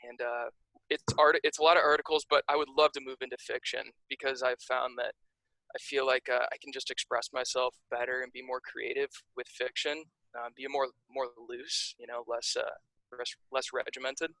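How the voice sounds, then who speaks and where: A young man with a Chicago accent talks through his story as a podcast guest, loose and full of ums and restarts. His voice is bright and forward, and the sound is dry, with no room to it. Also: medium pitch, normal speed, no echo, poor audio. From Chicago area, USA. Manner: relaxed, rambling, many fillers and restarts. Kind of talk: casual interview chat.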